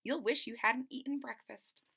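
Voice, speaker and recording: A woman's voice: high voice, steady tone, moderate pace, creaky, fairly bright tone, slightly rough. A middle-aged woman reading a picture book aloud to children, expressively, with explanatory asides and dramatic emphasis. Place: small indoor room at home.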